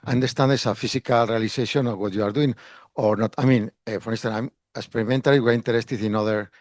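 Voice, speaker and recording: A man in the audience asking a researcher a question, halting and searching for words. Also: medium pitch, fairly even intonation, dry recording.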